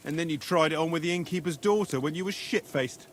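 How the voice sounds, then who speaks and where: An actor playing a nosy, bawdy young soldier in a medieval game, talking in a teasing, colloquial way. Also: male voice, a little high, a little fast, fairly bright tone, slight background noise, close to an everyday voice. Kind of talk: video game cutscene acting.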